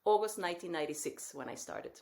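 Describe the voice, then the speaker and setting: A woman's voice: on the low side, fast, creaky. Mexican-accented woman in her forties. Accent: Mexican Spanish. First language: Spanish. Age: 40s. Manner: lively storytelling with frequent laughs. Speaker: guest. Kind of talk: podcast interview.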